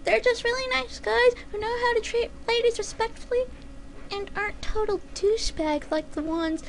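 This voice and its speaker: A woman's voice: extremely high pitch, steady tone, moderate pace, voice pushed up. Woman doing a squealing, panicked young girl pony. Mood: indignant. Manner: pleading, indignant. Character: panicked young female pony, whiny and pleading.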